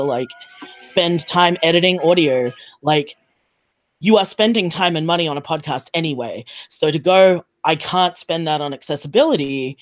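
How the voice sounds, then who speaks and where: Emphatic panelist with a mid-pitched voice. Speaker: podcast panelist. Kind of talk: casual panel discussion.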